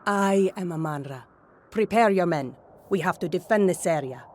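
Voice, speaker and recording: A woman's voice: on the low side, lively intonation, moderate pace, a little mellow, slight background noise, lightly acted. A woman voicing a firm, commanding warrior in a game cutscene. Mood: stern. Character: stern warrior woman guide.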